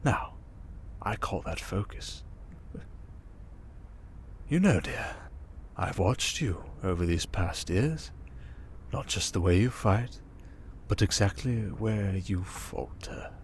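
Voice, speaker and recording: Man playing a slow, low-voiced villain mastermind. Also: lively intonation, a little hiss or hum, full character voice. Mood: teasing, probing. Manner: condescending, measured, coaxing with endearments. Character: smug, silver-tongued male criminal mastermind supervillain, manipulative and calm. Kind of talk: ASMR roleplay monologue, dramatic.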